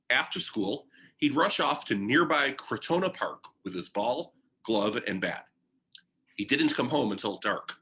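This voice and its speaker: A man reading a children's biography aloud in deliberate, short phrases with pauses, like a teacher sharing a picture book. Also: mid-range voice, sharp tone.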